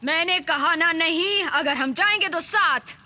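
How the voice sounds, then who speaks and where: A woman playing a feisty, stubborn young adventurer in a cartoon dub, blunt and animated. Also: very high-pitched, a little slow, exaggerated voice. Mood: defiant.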